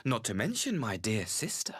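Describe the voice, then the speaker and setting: A man's voice: on the low side, huge pitch swings, moderate pace, fairly bright tone, slightly rough, dry recording, studio quality, full character voice. A man playing a scheming young ambassador in a video game, overly polite and theatrical. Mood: oily politeness. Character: smooth-tongued, scheming young male ambassador. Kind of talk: dramatic game acting.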